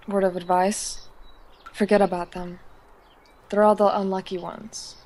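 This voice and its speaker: A woman playing an aloof high school outcast in an audio drama, dismissive and sardonic. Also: little pitch movement, a little slow, a little mellow, close to an everyday voice. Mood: cold, dismissive. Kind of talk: amateur audio drama acting.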